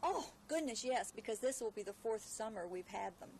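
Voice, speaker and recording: A woman's voice: fairly high pitch, expressive, fairly quick, gravelly, darkish tone. A woman answering as a homeowner in an archival television news interview. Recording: archival TV interview, faint noise.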